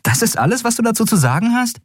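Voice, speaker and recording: A man voicing a bold, stubborn adventuring woman in a fantasy audiobook, brisk, persuasive and headstrong. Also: extremely high pitch, big pitch swings, breathy edge, no echo, clean studio sound, voice pushed up, full character voice. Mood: defiant. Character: bold, stubborn young woman, adventurous thief and ship owner.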